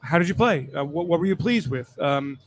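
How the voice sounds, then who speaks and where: A man with a somewhat high voice conducts a conversational sports interview. He tends to string several questions together in one turn. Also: lively intonation, a little fast. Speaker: sports show panelist interviewing a player.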